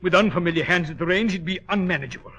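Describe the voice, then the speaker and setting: An actor playing a desperate, bitter English lord in 1940s radio drama, with a British accent and agitated, melodramatic delivery. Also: male voice, high-pitched, very fast, fairly bright tone, breathy edge.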